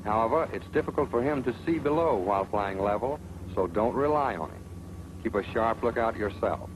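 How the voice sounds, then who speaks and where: A man's lowish voice narrates a military training film in a stern, instructive tone. He scolds as he lays out rules. Clear hiss sits under an old film soundtrack. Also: animated, brisk.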